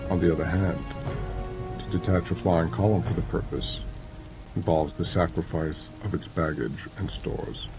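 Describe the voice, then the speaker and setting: A man reads as an audiobook narrator in a measured, solemn way, phrase by phrase, in a dramatized scripted style. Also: low-pitched, steady tone. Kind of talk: dramatized scripted narration of an ancient military treatise. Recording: music and rain behind the voice. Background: background music with falling rain.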